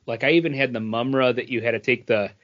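A man co-hosting a podcast, telling casual nostalgic stories in an animated, slangy way and acting out quotes. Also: mid-range voice, fast talker, highs cut off. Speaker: podcast co-host. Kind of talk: casual chat, nostalgic anecdotes.

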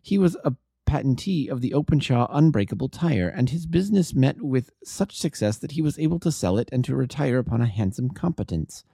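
Man reading as an earnest, lively young gentleman. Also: mid-range voice, fairly quick, gravelly, few pauses, breathy edge, no room sound, studio quality. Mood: earnest, explaining. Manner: earnest, polite, formal. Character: anxious, refined young gentleman client, early twenties. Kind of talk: audiobook character dialogue.

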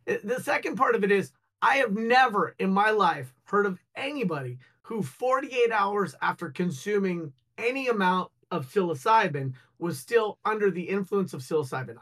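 Guy cohosting a loose news chat, higher voice, jokey and rambly. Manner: joking, rambling, animated. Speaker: co-host. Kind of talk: casual panel chat.

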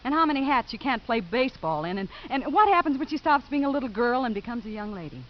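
Actress as a composed, lively-pitched woman official. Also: fast talker, natural voice. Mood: firm, challenging. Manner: probing, reproving. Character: composed, pointed female child-welfare official. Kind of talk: 1950s radio drama acting. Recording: dull old radio recording.

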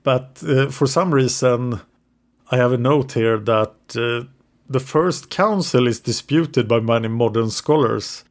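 English-speaking man, podcast co-host, mid-pitched, narrates history from notes with the odd hesitation. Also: unhurried. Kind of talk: casual history podcast storytelling.

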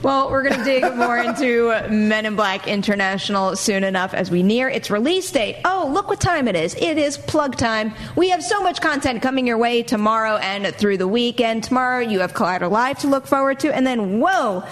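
Female talk show host, higher-pitched voice, emphatic and enthusiastic, conversational movie-news delivery. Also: expressive, normal speed, hardly any pauses. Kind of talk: lively panel chat and hosting.

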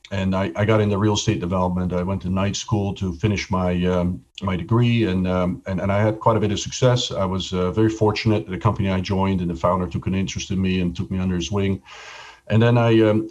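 A middle-aged man from the Netherlands, a podcast guest telling his life story in a relaxed way and often repeating words as he starts a sentence. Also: sits low, lo-fi sound, a little hiss or hum. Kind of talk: casual interview storytelling.

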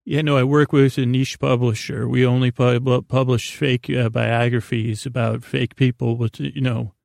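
A man hosting a sleep podcast with a rambling, improvised monologue, halting and circling back on himself in an unhurried, lulling way. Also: mid-range voice, flat delivery, gravelly, darkish tone, no room sound, studio quality. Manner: halting, meandering, self-interrupting, with trailing repetitions. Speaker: podcast host.

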